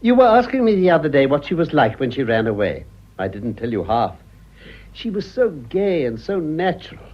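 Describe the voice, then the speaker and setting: Husky, cynical old English gentleman. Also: fairly high pitch, sing-song, moderate pace. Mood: amused. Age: older. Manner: worldly, sardonic, polished. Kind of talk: radio drama acting. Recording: muffled radio recording.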